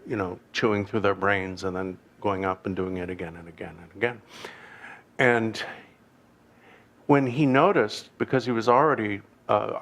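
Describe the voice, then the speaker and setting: A Canadian man with a low, gravelly voice gives an unhurried Buddhist teaching. He wanders off on asides, restarts his sentences and pokes fun at himself. His low pitch carries a steady rasp of vocal fry. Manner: halting, digressive, wry self-deprecating humor. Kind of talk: informal dharma teaching.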